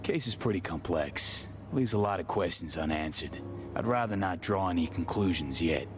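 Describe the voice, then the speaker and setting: An actor playing a guarded, pragmatic mercenary investigator in a video game, speaking tersely and with understatement. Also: male voice, low-pitched, expressive, moderate pace. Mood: guarded, matter-of-fact. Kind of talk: cinematic video game acting. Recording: music behind the dialogue.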